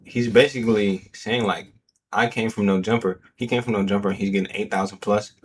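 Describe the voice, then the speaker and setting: A man with a fairly low voice, talking loose and slangy as he reacts to a clip. He leans on fillers, and faint background noise sits under him. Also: steady tone, a little fast. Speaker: host. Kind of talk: casual reaction commentary.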